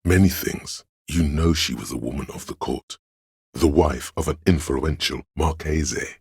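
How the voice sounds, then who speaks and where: A man voicing a grave, knowing encyclopedia inside a detective's mind in a video game, with measured, solemn, erudite narration and a gravelly, husky bass. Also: darkish tone, dry recording, studio quality, full character voice. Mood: grave, reverent.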